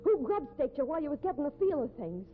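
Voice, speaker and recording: Blunt bossy american western gal, jealous and scolding, 50s radio drama, faint hiss, narrow sound. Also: female voice, high-pitched, very animated, fast talker. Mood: jealous, demanding. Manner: scolding, blunt, folksy slang. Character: tough, jealous, threatening cowboy's fiancée. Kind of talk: 1950s radio drama acting. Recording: thin, noisy vintage radio recording.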